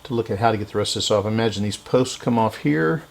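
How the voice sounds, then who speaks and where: Man, creaky voice, casual repair-video host, halting unscripted delivery, slightly noisy recording with clicks. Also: on the low side. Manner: halting, thinking aloud while working.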